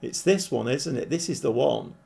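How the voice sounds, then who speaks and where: A man with a croaky, hoarse voice, chatting casually as he streams a game and thinks aloud. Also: mid-range voice, lively intonation, normal speed, warm tone. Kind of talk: casual live-stream commentary. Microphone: desk microphone.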